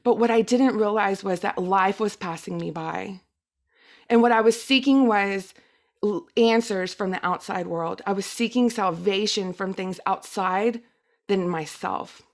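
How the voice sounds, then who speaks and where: A woman's voice: mid-range voice, unhurried. A middle-aged woman whose first language is Spanish, giving a personal testimonial talk as a guest speaker in an earnest, emphatic manner.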